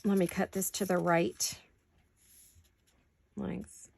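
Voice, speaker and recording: A woman with a sore throat chatting casually to viewers while she crafts at home, thinking out loud as she works. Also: on the low side, level tone, slow pace, vocal fry, breathy edge. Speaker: craft vlogger. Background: scissors and crafting clicks.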